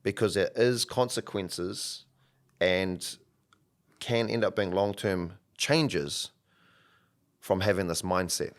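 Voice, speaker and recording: A young man co-hosts a casual podcast. His voice sits very low and he talks in an easygoing, reflective way. He often draws out his phrases. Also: slow pace, long pauses. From New Zealand. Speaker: podcast co-host, coach.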